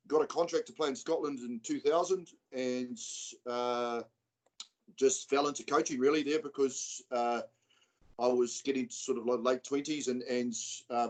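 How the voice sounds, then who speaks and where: A man's voice: mid-range voice, poor audio. A New Zealand man in his forties, a rugby coach, talking casually on a video call with lots of fillers. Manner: relaxed, rambling, many fillers.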